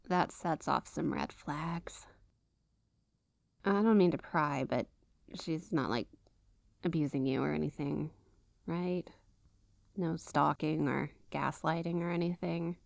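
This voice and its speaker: A woman plays a worried female coworker in an ASMR roleplay, slow and lowish, with a creaky edge. She is gentle, earnest and protective, halting awkwardly as she picks her words. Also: dry recording. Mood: gentle concern.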